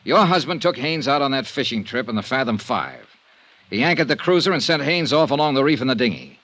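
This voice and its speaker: Casting: a jaded, hard-boiled American insurance sleuth, old-time radio noir, with widely moving pitch. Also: male voice, animated, fairly quick, fairly bright tone. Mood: accusing, sardonic. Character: cynical, world-weary hard-boiled male insurance investigator. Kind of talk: old-time radio detective drama, noir narration and dialogue. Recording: vintage radio recording, faint music.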